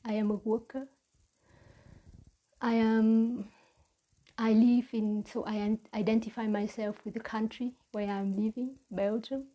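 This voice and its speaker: A woman with a Vietnamese accent giving a reflective mindfulness talk as a meditation teacher, with halting, deliberate phrasing. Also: on the high side, little pitch movement, warm tone.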